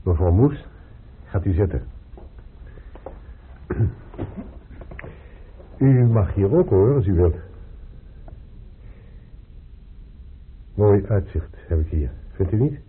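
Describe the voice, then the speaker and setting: An actor in a radio drama playing a calm, reasoning hospital doctor, measured, polite and gently instructive. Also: male voice, fairly low pitch, slightly rough. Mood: polite, reassuring. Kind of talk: Dutch radio drama acting. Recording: muffled, phone-like old radio play recording.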